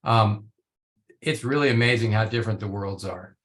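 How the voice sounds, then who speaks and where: A man who grew up in Belgium and Italy, speaking as a panelist on a video call in a measured way with many fillers and restarts. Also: on the low side. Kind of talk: virtual panel discussion.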